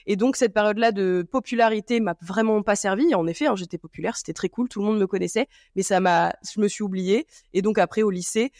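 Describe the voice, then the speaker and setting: A woman's voice: medium pitch, fast talker, few pauses, darkish tone, dry recording, studio quality. A woman hosting a podcast, chatting casually and rambling with many fillers and restarts. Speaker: podcast host. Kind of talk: casual chat.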